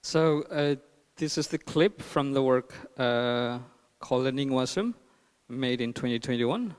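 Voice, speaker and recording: A man from eastern Nepal with a Nepali accent gives an informal conference talk as an artist, haltingly searching for words. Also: medium pitch, clear, resonant tone. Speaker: artist and filmmaker presenting his work. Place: large hall at a public talk. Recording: reverberant hall.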